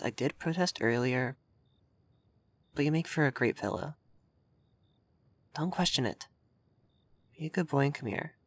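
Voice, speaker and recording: A man's voice: medium pitch, a bit bright. A man playing a young effeminate vampire mage in roleplay ASMR, vain and refined, coy and easily flustered in an intimate delivery. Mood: soft, fond.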